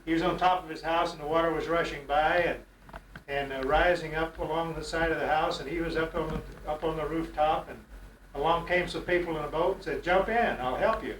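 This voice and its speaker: A man's voice: a little high, little pitch movement, brisk, a bit bright. Brief: East Texas grandfather, sixties, rambling home-video message, distant roomy mic. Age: 60s. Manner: rambling, folksy, joke-telling. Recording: echoey room, off-mic, faint noise.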